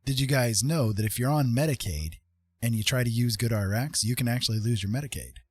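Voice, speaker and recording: A man's voice: mid-range voice, brisk, slightly rough, dry recording. A man chiming in as a co-host in a casual, conversational way. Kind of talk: casual chat. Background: faint music.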